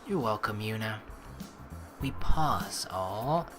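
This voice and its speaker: A woman's voice: a bass voice, lively intonation, slow pace, fairly bright tone. A woman doing casual, chatty, rambling let's play commentary, reading out a game and reacting playfully. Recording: music under the voice. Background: game music.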